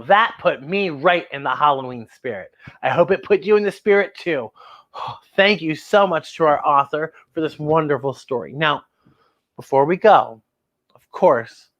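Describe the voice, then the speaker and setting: Female children's storytime host: very lively pitch, expressive read-aloud, exclaims and addresses young listeners directly. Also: moderate pace, highs cut off.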